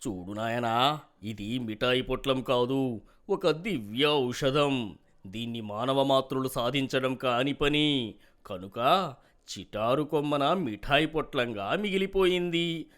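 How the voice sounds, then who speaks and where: Guy doing a kindly heavenly spirit for a storybook, stately and solemn, takes it slow. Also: medium pitch, a bit bright. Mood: solemn kindness. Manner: measured, stately. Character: benevolent male celestial spirit, solemn and reassuring. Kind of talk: dramatic storybook character acting.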